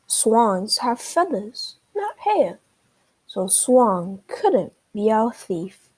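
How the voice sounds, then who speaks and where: A woman's voice: a little high, sing-song, extremely slow, darkish tone, breathy edge, exaggerated voice, rough recording. A woman voicing a confident, inquisitive male duck detective in a children's storybook. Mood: deducing, confident. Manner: inquisitive, explanatory, exclaiming.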